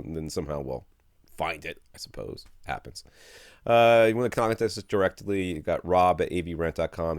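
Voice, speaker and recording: A man in his forties hosting a casual podcast from a small home studio, rambling and animated, feeling run down and sucking a cough drop. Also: a bass voice, dry recording. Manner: rambling, animated, easily amused. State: under the weather, with a cough drop in his mouth. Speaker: podcast host. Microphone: studio mic with headphones.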